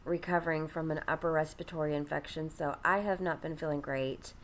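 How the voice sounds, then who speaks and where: Congested woman host, lower voice, rambling get-ready-with-me chat while doing makeup, faint hiss behind. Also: fairly even intonation, fairly quick. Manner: chatty, rambling, many ums and restarts. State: congested, stuffy nasal voice. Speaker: ministry host.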